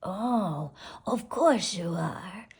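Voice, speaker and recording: Storybook fox, played by a woman: sly, mocking, slow sarcastic drawl. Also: deep voice, very animated, fairly bright tone, breathy edge, full character voice.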